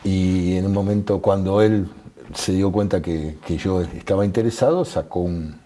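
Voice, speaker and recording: A man's voice: low-pitched, moderate pace, mellow. A middle-aged man with a Buenos Aires accent, an interview guest who explains things step by step. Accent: Buenos Aires Spanish.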